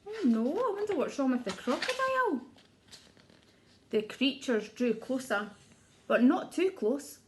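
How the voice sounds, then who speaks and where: A woman's voice: high-pitched, big pitch swings, a little slow, pauses often. A woman reading a children's storybook aloud like an early years teacher, expressively, putting questions to her young listeners.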